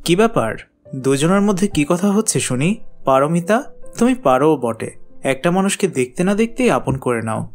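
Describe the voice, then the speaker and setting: A man's voice: on the high side, big pitch swings, normal speed, faint music behind. A man voicing a mild, hardworking village husband and father in a fairy-tale cartoon, good-natured and familiar.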